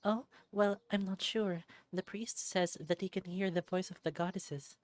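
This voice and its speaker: A woman voicing a kind, anxious, devout village woman in a visual novel, explaining things gently and hesitantly. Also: on the low side, fairly even intonation, measured pace, no echo, voice pushed up, lightly acted. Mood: wistful.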